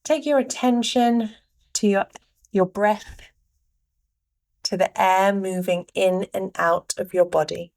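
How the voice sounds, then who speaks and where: Slow-paced woman guiding a meditation. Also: mid-range voice, fairly even intonation, a little mellow. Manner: unhurried, pausing between short phrases. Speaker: meditation guide. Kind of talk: guided meditation, gentle spoken instructions.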